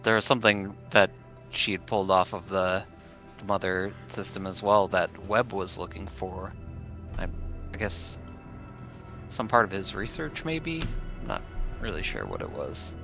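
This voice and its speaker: A man with a low, sharp-edged voice chats as a player in a tabletop game. The recording is a bit dull and lo-fi, with faint music behind. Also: big pitch swings, moderate pace, vocal fry, pauses often. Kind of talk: casual in-character game talk. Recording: slightly dull, lo-fi voice audio.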